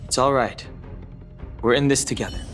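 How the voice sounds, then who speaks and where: An actor playing a calm, analytical young man in a video game, measured and matter-of-fact. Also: medium pitch, soft background music, natural voice. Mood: reassuring. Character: calm, analytical young man explaining theories to his crewmates.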